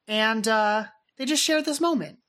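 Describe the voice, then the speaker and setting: A woman's voice: fairly high pitch, a little slow, fairly bright tone. A woman co-hosting a podcast in casual chat, agreeable and thinking aloud in short phrases. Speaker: podcast co-host.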